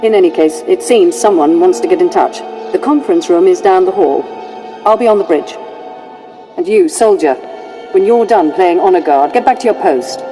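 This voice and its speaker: Lady as a dutiful game lieutenant, lowish voice, clipped and formal, loud music behind. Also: moderate pace, lightly acted. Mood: brisk. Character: brisk, dutiful female military lieutenant. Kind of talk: video game character acting.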